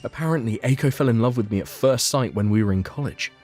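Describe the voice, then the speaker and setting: A man's voice: mid-range voice, fast, a little mellow. A man reading scripted first-person story narration for a manga dub, as the narrator and lead character. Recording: music behind the voice.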